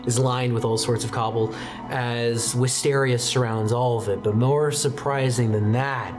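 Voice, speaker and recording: A man's voice: fairly even intonation, music in the background. Man narrating a tabletop game. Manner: descriptive, measured narration that drops soft and low for quiet details. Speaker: game master.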